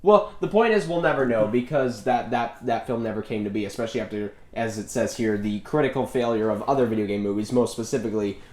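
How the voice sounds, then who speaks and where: A man's voice: lowish voice, fast, few pauses. A man, chatting casually and talkatively as a podcast co-host, with jokey rambling asides. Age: early 20s. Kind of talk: casual chat about a movie. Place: small bare apartment room, indoors. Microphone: individual microphone. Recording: echoey bare apartment room.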